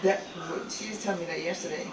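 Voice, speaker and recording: A man hosting a live game stream, chatting casually and laughing a lot in a laid-back way, sentences trailing off. He has a deep voice. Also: fairly even intonation, fast, over a noisy crowd. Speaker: livestream host. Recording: echoey room, far from mic, noisy.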